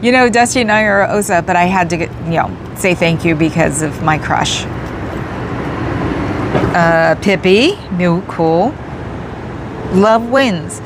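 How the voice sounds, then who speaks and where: Slow, giggly woman streamer, noisy car. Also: on the low side, lively intonation. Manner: chatty, giggly, thanks viewers by name. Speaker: livestream host. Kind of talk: casual livestream chat with viewers. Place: in a parked car outdoors.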